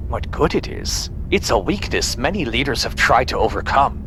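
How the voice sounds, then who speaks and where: An actor playing a cold, bigoted, tyrannical space admiral in a sci-fi audio drama, condescending and domineering. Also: male voice, normal speed. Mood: scornful ranting. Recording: steady background rumble.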